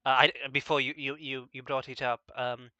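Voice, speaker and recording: Halting man interviewer with a sharp, bright voice. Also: medium pitch, little pitch movement, no room sound, dull audio. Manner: halting, frequently stammering over word starts. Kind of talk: casual interview chat.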